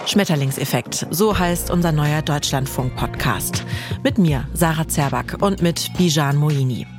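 A woman reads a podcast promo in a brisk, inviting tone. Her voice is quite low for a woman, and music runs underneath. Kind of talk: scripted promo.

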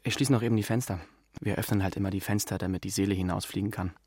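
Hospital attendant, polite, matter-of-fact, lowish voice, quick pace, close dry studio sound, radio drama. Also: male voice, fairly even intonation, darkish tone, husky, dry recording. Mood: courteous, routine. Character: businesslike, courteous hospital attendant, man. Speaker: actor who also narrates. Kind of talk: radio drama dialogue and narration.

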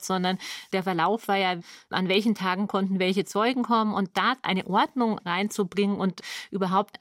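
A woman's voice: medium pitch, no room sound, clean studio sound. A woman giving an explanatory answer in an interview as one of the makers of a production. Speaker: radio drama maker interviewed about the production.

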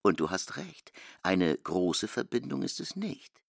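An actor playing a solemn, deliberate narrator in a literary radio drama. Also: male voice, deep voice, big pitch swings, vocal fry, breathy edge, no room sound, clean studio sound, exaggerated voice. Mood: solemn recounting.

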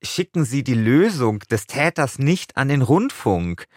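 Animated man in casual chat. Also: mid-range voice, a little slow, no room sound, clean studio sound. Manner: enthusiastic, wordy. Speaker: podcast co-host.

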